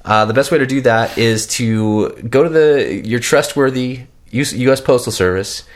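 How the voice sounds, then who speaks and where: A man's voice: mid-range voice, normal speed. Stuffed-up, nasal male podcast host. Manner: rambling, joking, stumbling restarts. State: stuffed up from a cold. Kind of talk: casual chat.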